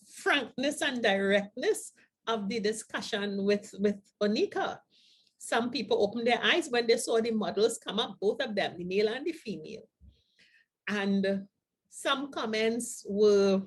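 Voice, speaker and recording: An older woman co-hosting a talk show, speaking to viewers in a deliberate, emphatic manner. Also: medium pitch, husky. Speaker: co-host of a show for the over-fifties.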